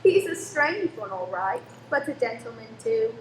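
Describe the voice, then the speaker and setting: A woman acts a fun-loving, outspoken young daughter in a radio play. Her voice is high, her manner spirited and slightly defiant. The room rings with echo, over faint noise. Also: sing-song, normal speed. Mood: polite.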